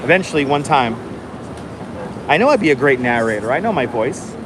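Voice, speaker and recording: A man hosting a casual live stream, chatting calmly and enunciating clearly while he waits in line outdoors. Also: mid-range voice, fairly quick. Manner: calm, enunciated, chatty with viewers. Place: outdoors on a city street waiting in line. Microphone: phone held for a live stream. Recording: noisy outdoor phone stream. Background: city traffic and street noise.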